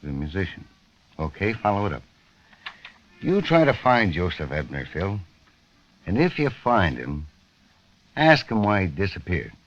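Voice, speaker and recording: Very deep, animated American editor. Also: male voice, measured pace, long pauses. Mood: brisk, commanding. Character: brisk, pressing male magazine managing editor. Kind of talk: 1940s-50s radio drama acting. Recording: muffled, noisy vintage radio recording.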